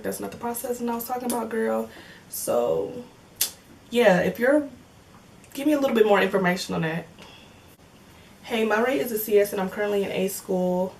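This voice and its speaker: A woman's voice: mid-range voice, far from the mic, a little hiss or hum. A woman of twenty in casual chat, answering viewer comments in a joking, slangy way and laughing often. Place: home filming setup with cameras and lights.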